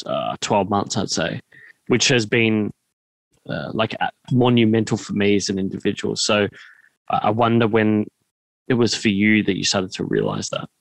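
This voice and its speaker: A man's voice: on the low side, normal speed, vocal fry, dry recording, poor audio. An Australian man in his thirties hosting a podcast, asking roundabout, hesitant questions in a casual conversational way into a close studio mic. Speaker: podcast host, interviewer. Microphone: close studio mic on boom arm, headphones.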